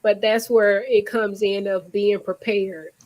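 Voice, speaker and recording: A woman's voice: medium pitch, level tone, takes it slowly, darkish tone, poor audio. A woman with a congested, stuffy nose, hosting a casual chat and making long, earnest points. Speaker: host. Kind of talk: casual video call chat.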